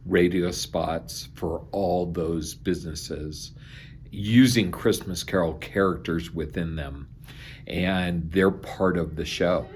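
A man's voice: low-pitched, slow, slight background noise. A man, a theatre director, explaining in an interview in a matter-of-fact way.

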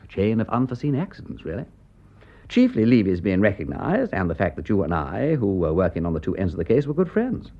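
An actor playing an aristocratic English gentleman detective in a radio drama, with an upper-class English accent and a witty, breezy, urbane manner. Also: on the low side, huge pitch swings, fairly quick, dull audio, full character voice. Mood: animated explaining. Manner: aristocratic, breezy, clipped period phrasing.

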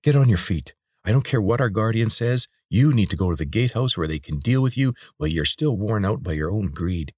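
A man's voice: on the low side, lively intonation, brisk, few pauses, darkish tone, husky, no echo, clean studio sound, voice pushed up. A man voicing an angry, suspicious young woman guard who speaks sharply and accusingly. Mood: hostile.

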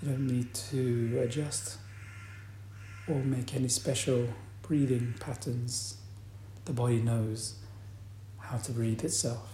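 A man guides a meditation in a soft-edged, relaxed voice of middling pitch. He speaks very slowly and deliberately, leaving long, calm pauses between phrases. The room adds a noticeable echo to his voice. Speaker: meditation teacher. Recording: roomy echo, faint background noise.

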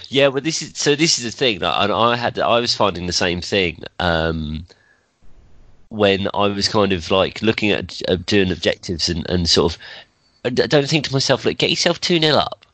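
Bloke with a cold hosting a chatty pod, low voice, dry close sound. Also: male voice, animated, normal speed, a bit bright, dry recording. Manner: chatty, laughs often, many ums. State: has a cold, slightly nasal. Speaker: podcast host. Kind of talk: casual podcast chat.

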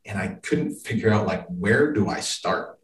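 A man's voice: lowish voice, expressive, normal speed. An American man from the Boston area answering interview questions in a conversational, explanatory manner. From suburban Boston, United States. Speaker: interview guest, business professional. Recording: echoey room, distant microphone.

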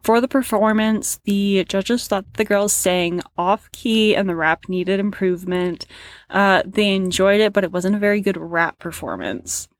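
A woman's voice: mid-range voice, unhurried, no echo. A woman chatting casually about a competition show, rambling with lots of fillers and frustrated sighs. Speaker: co-host reacting to a competition show. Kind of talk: casual reaction chat.

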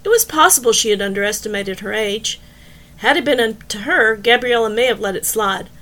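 A woman's voice: fairly high pitch, brisk, bright, forceful tone. A woman reading scripted fiction as an audiobook narrator.

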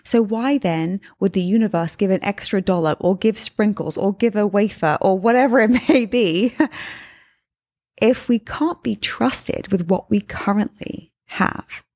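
A woman's voice: medium pitch, measured pace, darkish tone, no room sound, clean studio sound. A woman in her thirties from England, whose accent mixes English and Australian, tells a story in a chatty podcast monologue and addresses listeners as her friends. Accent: English and Australian mix. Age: 30s. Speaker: podcast host and life coach.

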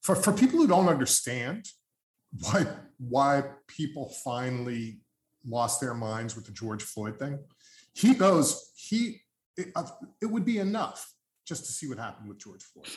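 An older man from Long Island hosts a relaxed conversation, earnest and rambling as he circles back to correct himself. His voice sits at an ordinary pitch and carries a noticeable room echo. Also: a little slow. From Long Island, New York. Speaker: podcast host. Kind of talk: casual interview chat.

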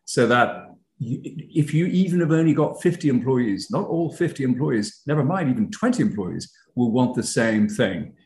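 An Irish man, a company founder, explaining his business in a conversational interview with frequent ums and restarts. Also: mid-range voice. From Ireland. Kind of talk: casual interview talk. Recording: roomy echo.

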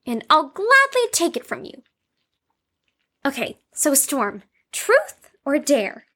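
Very high, chirpy young girl played by a woman. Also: huge pitch swings, takes it slowly, bright, forceful tone, heavily acted. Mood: cheerful. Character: chirpy, eager, dog-loving young psychiatric patient girl. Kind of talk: audio drama acting.

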